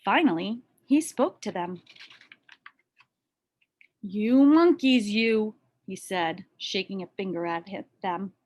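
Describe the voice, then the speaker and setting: A woman reading a children's story aloud expressively, with deliberate pauses and dramatic emphasis. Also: medium pitch, lively intonation, dull audio. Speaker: storybook reader.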